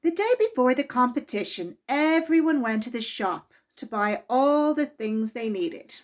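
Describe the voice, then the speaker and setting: A woman with a high voice reads a children's storybook aloud at a very unhurried pace. She narrates expressively and deliberately, stretching key words for young listeners. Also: lively intonation.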